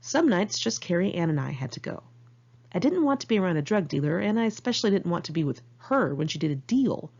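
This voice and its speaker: An American woman reads her own memoir as an informal audiobook, with a candid, wry, expressive manner. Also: fairly low pitch, quick pace, creaky, dull audio. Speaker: author reading own memoir.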